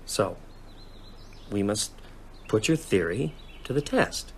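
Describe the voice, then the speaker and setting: An actor playing a skeptical, rattled man in a radio drama, arguing haltingly and with disbelief. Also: lowish voice, expressive, slight background noise. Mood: tense, resolute.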